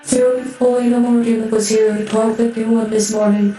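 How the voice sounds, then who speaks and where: A cold, clinical figure from a sci-fi game played by a woman. Her high-ish voice is mellow and flat, very echoey and distant. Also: normal speed, lightly acted. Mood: detached. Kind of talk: cinematic video game dialogue. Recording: very echoey, distant, faint music.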